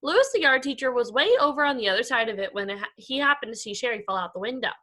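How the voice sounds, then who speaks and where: A woman with a bright, high voice reads to young children as their teacher. Her delivery is expressive and very melodic, moving freely up and down as she tells the story. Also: very fast. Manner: expressive, addressing young pupils. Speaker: elementary school teacher. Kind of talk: animated children's read-aloud.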